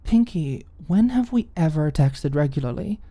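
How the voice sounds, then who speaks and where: A woman's voice: deep voice, lively intonation, normal speed, dark tone, dry recording. A woman playing a cool, nonchalant young woman roommate with a mean streak, breezy and sardonic, in an audiobook drama. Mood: dismissive. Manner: breezy, sardonic, feigned indifference.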